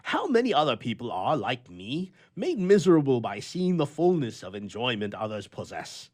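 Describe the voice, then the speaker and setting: Man acting a theatrical, wildly expressive grumpy old-fashioned bachelor. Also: mid-range voice, moderate pace. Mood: irritated, grumbling. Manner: theatrical, stammering and blustering. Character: grumpy, flustered bachelor with a soft heart, telling his tale. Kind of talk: dramatic storytelling in old-fashioned literary prose.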